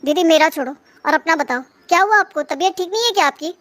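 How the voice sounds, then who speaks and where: Woman voicing a concerned, high-voiced younger brother. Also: lively intonation, fast, a bit bright, no room sound. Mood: worried. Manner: concerned, questioning. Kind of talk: cartoon moral story acting.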